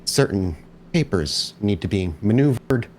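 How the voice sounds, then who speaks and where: A man's voice: on the low side, lively intonation, measured pace, darkish tone, slight background noise. A man voicing a courteous female messenger with hesitant, formal phrasing in a tabletop roleplaying game. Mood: courteous, businesslike. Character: polite, courteous female messenger with long red nails.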